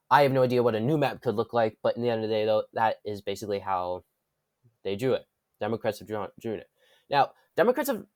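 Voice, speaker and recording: A man's voice: mid-range voice, no room sound. A man with a congested, stuffy voice giving casual political commentary, rambling, correcting himself and dropping wry asides. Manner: rambling, with frequent restarts and self-corrections. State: congested from a cold.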